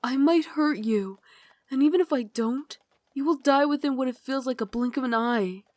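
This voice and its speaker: A woman voicing a tender, guilt-ridden young Victorian vampire lady in an intimate ASMR roleplay, with formal, dramatic, confiding phrasing that slips into breathy whispers. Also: high voice, moderate pace, darkish tone, dry recording, natural voice. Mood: regretful, urgent.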